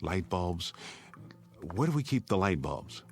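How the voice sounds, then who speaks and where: An urgent American family man in a radio drama, with music underneath. His low voice moves widely in pitch as he takes charge, giving orders and steadying his household. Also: very animated, unhurried, darkish tone, heavily acted. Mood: steadying, tense. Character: urgent, steadying father and husband taking charge.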